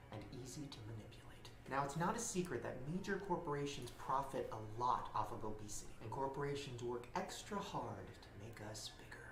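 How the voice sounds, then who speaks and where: Youtuber doing a dramatic scripted story, mid-pitched, roomy echo. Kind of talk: scripted video narration.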